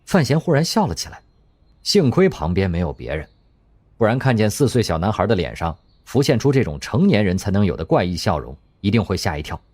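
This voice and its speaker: Husky, lively male storyteller. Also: on the low side, brisk. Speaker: narrator. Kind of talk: scripted audio drama narration.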